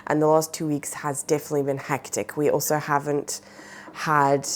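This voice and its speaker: Vlogger mom, 30s, deep for a woman, nasal from a cold, chatty, fillers throughout. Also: level tone, moderate pace, a little hiss or hum. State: recovering from a cold. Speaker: plant vlogger, mother. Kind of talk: casual chat.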